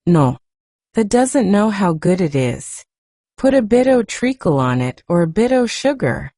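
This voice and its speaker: A woman acting out a young Yorkshire housemaid from a storybook, homely, talkative and plain-spoken. Her voice is mellow and swings freely up and down, recorded close and dry. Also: mid-range voice, sing-song, a little slow, no room sound. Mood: indignant, outspoken. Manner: chatty, homely, forthright.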